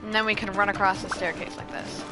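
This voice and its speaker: A woman streamer gives casual, playful commentary as she plays. Her pitch is middling, she likes repeating little phrases, and game music runs clearly underneath. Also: steady tone, fast. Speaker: gaming streamer.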